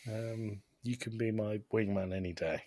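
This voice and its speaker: A man's voice: lowish voice, steady tone, normal speed, mellow, no echo. Casual male podcast co-host, dry sound. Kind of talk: casual panel chat, film review.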